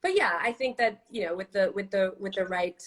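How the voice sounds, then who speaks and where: A chatty, expressive woman with a mid-range voice, native Spanish speaker raised in Miami. She thinks out loud in a loose, casual live-stream conversation. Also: medium pitch, fairly quick. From Miami, USA. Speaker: actress, guest.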